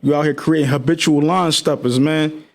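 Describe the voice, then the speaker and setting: A man co-hosting a talk show, arguing his point fast and heatedly in casual slang. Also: on the high side, fairly even intonation, gravelly. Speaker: podcast co-host. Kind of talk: casual debate chat.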